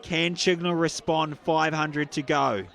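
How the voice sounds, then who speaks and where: A man calling a live track race, building excitement as the runners pull apart. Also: takes it slowly, creaky. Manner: excitable, rises in pitch and volume during the race. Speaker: athletics commentator. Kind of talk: live athletics commentary. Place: outdoor stadium.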